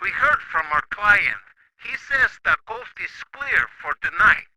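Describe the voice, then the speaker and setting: A man voicing a cold criminal boss in a radio play, his voice high and very bright. He lays out orders in a flat, businesslike, commanding way. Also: measured pace, full character voice, rough recording. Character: cold male criminal giving murder orders.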